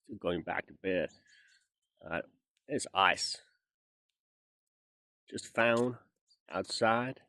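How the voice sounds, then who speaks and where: A man's voice: lowish voice, extremely slow, pauses often, bright, forceful tone, no room sound. Finnish man with a Southern drawl. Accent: Southern American-style English. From Finland. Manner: rambling, chatty and joking, with many fillers. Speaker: vlogger. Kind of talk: casual monologue to camera.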